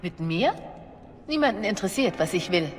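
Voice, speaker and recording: Game role: actress as a young female fugitive bard, guarded and indignant, echoing reverb, music clearly behind. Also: mid-range voice, lively intonation, normal speed, close to an everyday voice. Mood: bitter, guarded. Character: wary, wronged young female bard on the run. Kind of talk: dramatic video game voice acting.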